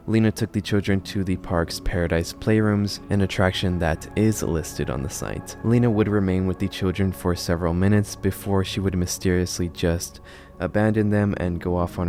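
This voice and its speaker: A man's voice: low voice, a little mellow. A man narrating a horror video essay in a conversational, storytelling way. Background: background music.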